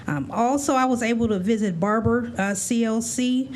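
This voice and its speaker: A woman's voice: fairly high pitch, moderate pace, slight background noise. Earnest woman giving board remarks. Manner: earnest, many fillers. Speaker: board member. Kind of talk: meeting remarks. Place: school board meeting room.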